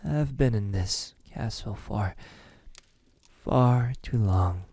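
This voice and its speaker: Man voicing a slow, breathy, low male vampire. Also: warm tone, dry recording. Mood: weary, confiding. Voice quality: breathy, often dropping to a whisper. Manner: slow, menacingly tender, teasing. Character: possessive, teasing, lonely male vampire in his castle. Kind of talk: ASMR roleplay, intimate soft speech.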